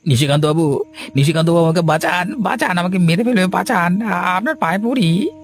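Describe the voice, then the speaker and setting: A man's voice: high-pitched, a little fast, slight background noise. A man voicing a frightened, guilt-ridden villager in a horror cartoon, stammering and whining as he pleads. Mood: frantic pleading. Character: panicky guilt-ridden village man begging for his life. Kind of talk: Bengali horror cartoon dubbing. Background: music.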